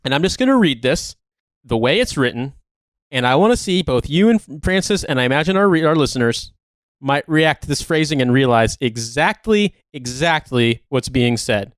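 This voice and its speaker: A man's voice: medium pitch, animated, moderate pace, no room sound. A man co-hosting a podcast in casual banter, talking in an emphatic, incredulous, sweary way. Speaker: podcast co-host.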